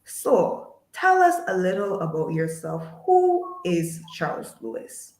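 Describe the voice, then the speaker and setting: A woman hosting an interview show, welcoming her guest and inviting viewers' questions in a polite, formal, measured way. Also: fairly low pitch, very animated, darkish tone, roomy sound, low-quality recording. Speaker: host. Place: studio.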